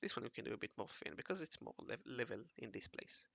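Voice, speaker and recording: A man with a raspy voice talking casually to himself while he draws, mumbling and correcting himself as he goes. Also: mid-range voice, very animated, fast, sharp tone, no room sound. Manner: mumbling and self-correcting, often murmuring half to himself. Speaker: hobby artist narrating his drawing session. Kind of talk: casual think-aloud commentary while drawing.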